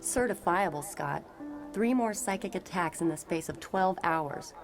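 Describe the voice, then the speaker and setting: Actress playing sardonic psychic heroine, lowish voice, troubled, wry, motion comic, music behind. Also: female voice, lively intonation, measured pace. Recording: music under the voice.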